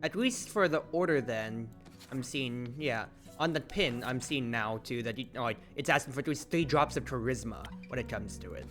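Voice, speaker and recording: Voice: medium pitch, animated, normal speed, fairly bright tone, faint music behind. A streamer giving casual, rambling commentary on a game. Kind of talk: casual gameplay commentary with character read-alouds.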